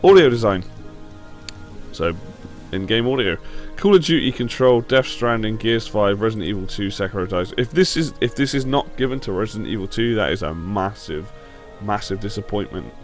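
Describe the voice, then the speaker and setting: Low, slightly croaky man over music. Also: lively intonation, normal speed. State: sore throat, slightly croaky. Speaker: gaming show host. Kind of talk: casual opinion commentary on video games.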